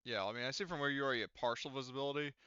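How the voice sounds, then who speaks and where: Bright, clear-voiced man running a tabletop game. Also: medium pitch, dry recording, muffled recording. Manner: halting, hesitant phrasing. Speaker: game master. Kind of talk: casual tabletop game narration.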